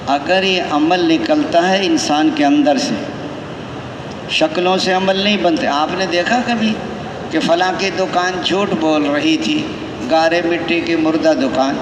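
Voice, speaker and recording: A man preaches in a Pakistani accent with a fairly high voice. He exhorts in a conversational way, asking rhetorical questions and repeating key phrases. The recording rings with long hall reverb over clear background noise. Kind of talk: Tablighi sermon with anecdotes.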